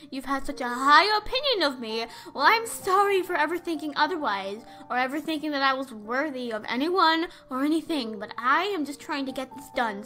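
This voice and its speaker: A woman's voice: high-pitched, pushed and bright. A teenage girl voicing a scornful, sassy teen with an incredulous, emphatic manner in a drama monologue.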